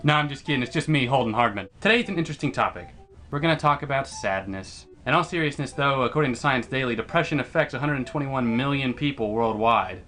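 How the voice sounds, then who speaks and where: A man's voice: mid-range voice, fast, fairly bright tone, faint music behind. A man presenting a jokey scripted vlog segment in a playful, mock-dramatic way.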